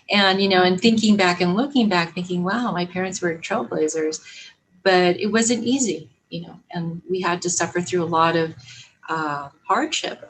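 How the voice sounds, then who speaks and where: A woman, telling stories in a casual podcast interview, animated and laughing often. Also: fairly low pitch, slight background noise, poor audio. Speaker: podcast guest, business owner.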